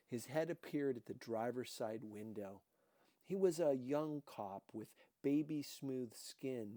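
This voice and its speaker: A man reading a first-person novel aloud as an audiobook, with deliberate, dramatic expression. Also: medium pitch, mellow, no room sound. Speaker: audiobook reader.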